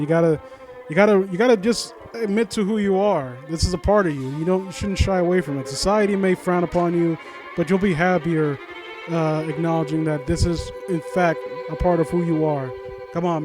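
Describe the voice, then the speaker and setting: A man with a fairly high voice does casual commentary and story reading. He is sardonic and informal, and trips over his words as he goes. Music plays faintly behind him. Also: darkish tone. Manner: sardonic, mock-supportive asides, informal, stumbling over read lines. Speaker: host of a critique series reading a story aloud and commenting.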